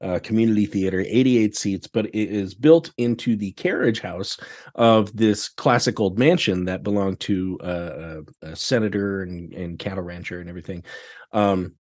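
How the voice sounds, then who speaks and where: A man's voice: sits low, moderate pace. Exclamatory man chatting casually. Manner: animated reactions, exclamatory. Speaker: podcast guest, theatre director. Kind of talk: casual chat, anecdotes.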